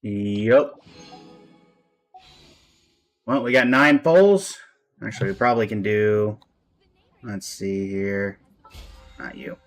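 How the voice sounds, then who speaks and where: A man's voice: lowish voice, a bit bright. A man giving casual live-stream game commentary as the host, with a dry, resigned way of talking. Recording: music playing behind the voice. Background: background music.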